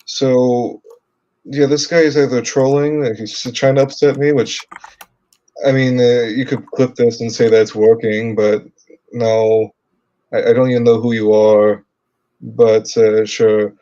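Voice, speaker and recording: A man from the United States co-hosting a live Q&A stream, talking in a halting way with many fillers and restarts. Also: mid-range voice, flat delivery, dark tone, highs cut off. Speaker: co-host. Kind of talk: livestream Q&A chat.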